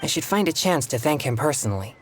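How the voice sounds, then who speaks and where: An actor playing a polite, earnest young male exorcist in a video game, speaking in formal, courteous, measured phrases. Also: a little high, big pitch swings, a little hiss or hum. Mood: grateful, sincere. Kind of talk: scripted video game dialogue.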